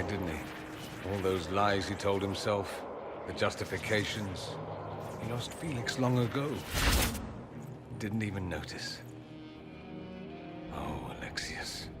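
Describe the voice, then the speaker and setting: A man's voice: lowish voice, a little slow, long pauses, over loud music, natural voice. A man playing a flamboyant, self-assured mage in a video game, with an arch, sardonic, theatrical delivery. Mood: rueful. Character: witty, flamboyant, self-assured male mage from a decadent empire. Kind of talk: video game dialogue acting.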